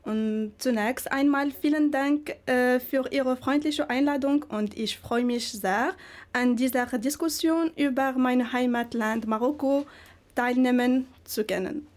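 A woman's voice: high-pitched, unhurried, darkish tone. A woman from Morocco who is not a native German speaker introduces herself politely as a guest in a radio studio interview. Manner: formal, courteous.

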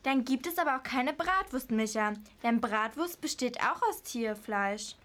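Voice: mid-range voice, lively intonation, a little slow, pushed and bright. A female performer playing a shrewd, stern mother in an amateur school radio play.

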